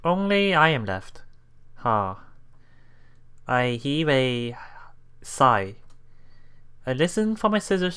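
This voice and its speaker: Slow-talking, halting let's play host. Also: mid-range voice, fairly bright tone, smooth. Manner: halting, often chuckling. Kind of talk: casual game commentary, reading dialogue aloud. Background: visual novel background music.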